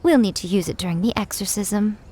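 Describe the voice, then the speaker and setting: Actress as a sly, teasing shrine priestess. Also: female voice, mid-range voice, a little fast, warm tone, slight background noise, close to an everyday voice. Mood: nonchalant, sly. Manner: teasing, nonchalant, smoothly condescending. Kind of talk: video game voice acting.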